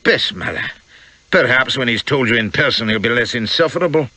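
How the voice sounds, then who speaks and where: A man voicing a gruff, pious, sardonic Scottish sea captain in an audiobook, with a growl in the voice. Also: medium pitch, animated, a little fast, pushed and bright, no echo, voice pushed up. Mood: grudging, gruff.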